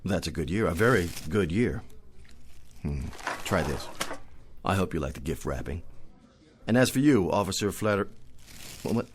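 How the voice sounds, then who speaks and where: An actor playing a genial, wry drifter in a radio drama, rambling along in a hesitant storytelling manner. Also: male voice, deep voice, expressive, normal speed, long pauses, slight background noise. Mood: obliging.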